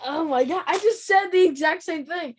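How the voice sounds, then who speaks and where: A woman's voice: very high voice, normal speed. A woman hosting an interview, chatty and slangy, laughing easily with her guest. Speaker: interview host. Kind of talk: casual interview.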